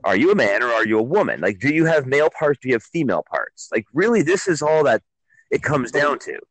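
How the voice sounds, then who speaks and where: A man's voice: mid-range voice, big pitch swings, quick pace, no room sound, rough recording. A man co-hosting a casual radio talk show, speaking bluntly and with emphasis. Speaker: co-host.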